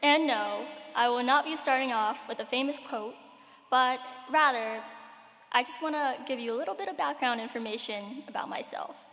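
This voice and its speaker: A woman's voice: high voice, moderate pace, fairly bright tone. A teenage girl from Cambodia giving a commencement speech as a graduating student, with wry, self-deprecating humor. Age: late teens. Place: large hall at a graduation ceremony. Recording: echoey hall with long reverb.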